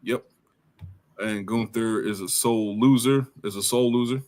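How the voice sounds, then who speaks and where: A man's voice: lowish voice, steady tone, unhurried, a little mellow. A man in his thirties hosting a casual podcast from home, reading viewer comments in loose slang, laughing often and mimicking people. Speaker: podcast host. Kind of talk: casual live-stream chat reading comments.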